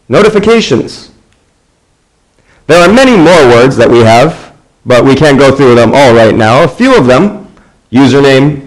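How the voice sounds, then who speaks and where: A man in his thirties from the United States, narrating an English lesson in a clear, deliberate way. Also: mid-range voice, rough recording. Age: 30s. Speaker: English teacher. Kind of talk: scripted classroom narration.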